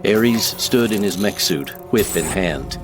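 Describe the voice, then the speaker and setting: Low-voiced man narrating over music. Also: expressive, slow. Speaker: audiobook narrator. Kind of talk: scripted dramatic narration of sci-fi fiction.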